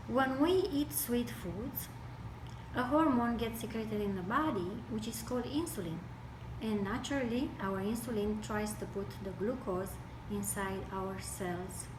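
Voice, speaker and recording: A woman from Romania with a Romanian accent gives an explanatory health talk, speaking deliberately in broken phrases. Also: mid-range voice. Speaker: health speaker with medical training. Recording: distant mic, echoey room, noisy background.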